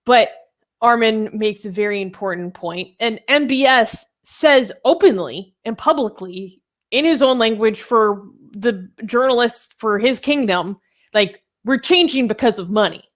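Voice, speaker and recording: A woman's voice: a little high, expressive, unhurried. A woman giving casual panel commentary in an informal way, peppering her talk with "like". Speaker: panelist on a discussion show.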